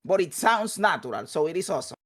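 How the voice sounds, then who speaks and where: A man in his mid-thirties joins over a video call as a guest. He talks in an enthusiastic, friendly way and keeps calling people dude and brother. His voice sits notably high for a man. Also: big pitch swings, fast talker, a bit bright. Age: 30s.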